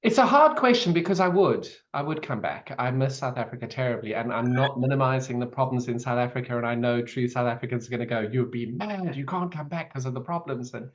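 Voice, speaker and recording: A man's voice: mid-range voice, lively intonation, brisk, hardly any pauses. A man from Zimbabwe hosts a chatty interview and reminisces freely with small laughs. His voice comes through slightly dull, lo-fi audio. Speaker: host. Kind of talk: video call interview.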